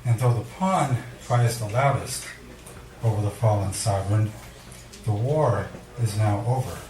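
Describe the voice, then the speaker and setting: A man's voice: lowish voice, distant mic, a crowd in the background. Man reading poetry in an echoey room. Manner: measured, deliberate delivery with dramatic pauses. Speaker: poet reading at a poetry event. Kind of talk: live poetry reading with casual introductions. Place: indoor cafe venue before a live audience. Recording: echoey room recorded from afar, audience murmur.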